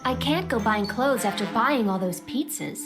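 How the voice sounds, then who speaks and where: A mysterious, self-possessed young woman in an anime audio drama. Her voice sits a little high, with cool, dry teasing in every phrase. Music is clearly heard behind her. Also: animated, normal speed.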